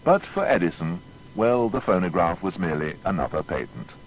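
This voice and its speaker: A man's voice: deep voice, very animated, brisk, slight background noise, poor audio. A man narrating a scripted biography in a dramatic, rhetorical manner.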